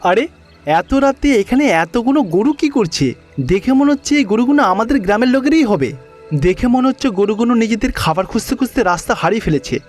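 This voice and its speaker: A man's voice: extremely high pitch, lively intonation, fast talker, faint music behind. A man playing a kindly, earnest village headman in a cartoon, with an exclamatory way of talking. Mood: puzzled, helpful. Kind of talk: animated cartoon dialogue.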